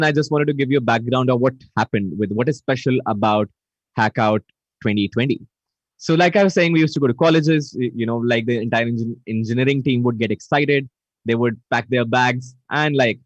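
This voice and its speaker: A man's voice: mid-range voice, dry recording. A man hosting a live online event over a video call, greeting viewers and reading their chat messages aloud. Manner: energetic, repeats greetings, reads chat aloud. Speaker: event host. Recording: dull, dry video call audio.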